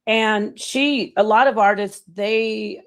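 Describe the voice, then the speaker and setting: A woman's voice: medium pitch, steady tone, slow, clean tone. A middle-aged woman hosting a casual panel discussion, thoughtful and hesitant, often restarting her sentences. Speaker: panel host.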